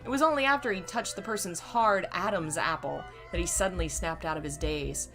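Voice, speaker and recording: A hoarse woman reading a novel aloud on her channel, chatty and fond when she talks to viewers. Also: on the low side, fairly quick, a bit bright, faint music behind. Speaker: reader and channel host.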